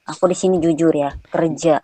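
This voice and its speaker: A woman from West Java, chatting as a guest fan in an earnest, unhurried way. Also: deep voice, steady tone, mellow. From Indonesia (Subang, West Java). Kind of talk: casual chat.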